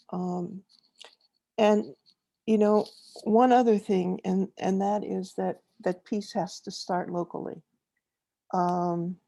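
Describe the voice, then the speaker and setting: A woman speaking as a panelist in an online talk, unhurried, with many pauses and fillers. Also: mid-range voice, creaky, dark tone, no room sound.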